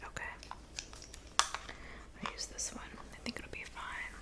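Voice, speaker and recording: A woman playing a kindly, doting witch in an ASMR roleplay, speaking in a soft whisper with gentle, reassuring attention. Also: unhurried, lightly acted. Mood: soothing, attentive. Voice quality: soft whisper with some creaky murmur. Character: kindly cabin witch mixing potions, doting and soothing. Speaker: ASMR performer.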